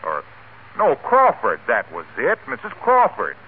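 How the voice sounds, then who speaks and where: A cheerful, relentless salesman with a husky, animated voice in 1940s radio comedy style, heard on a tinny vintage broadcast. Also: mid-range voice, measured pace, heavily acted. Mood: chatty. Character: cheerful, relentless product-plugging salesman friend.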